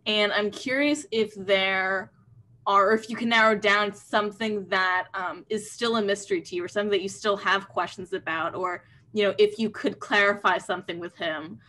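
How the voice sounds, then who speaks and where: Bright-voiced young woman asking politely. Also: mid-range voice, steady tone, moderate pace. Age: young adult. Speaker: participant asking a question. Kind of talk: polite, hesitant conversational question. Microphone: video call.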